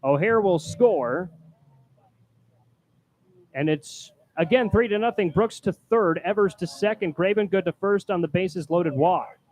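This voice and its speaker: Higher-pitched male baseball play-by-play announcer. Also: unhurried, rough recording. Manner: loud, projecting on calls. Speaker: baseball play-by-play announcer, also doing public address. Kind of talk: live play-by-play sports commentary. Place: press booth at a ballpark. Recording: clear background noise.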